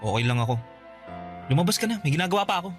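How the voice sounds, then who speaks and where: Radio drama: resentful younger brother, twenties, Filipino accent, fast clipped delivery, defensive. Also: male voice, on the high side, big pitch swings, soft background music. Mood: defensive, curt. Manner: curt, defensive.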